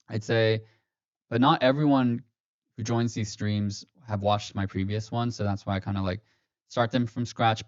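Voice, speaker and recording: Low-voiced man on a dull dry stream. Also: darkish tone, no room sound. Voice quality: slightly robotic-sounding stream voice. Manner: rambling, self-correcting, many fillers. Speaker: finance livestream host. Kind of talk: casual livestream walkthrough. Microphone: streaming mic.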